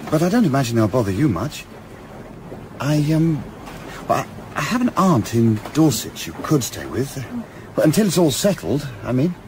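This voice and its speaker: An actor in a radio play playing a shy, kindly young English sailor, hesitant and earnest. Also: male voice, medium pitch, big pitch swings, moderate pace, darkish tone, a little hiss or hum, close to an everyday voice. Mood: bashful, kindly.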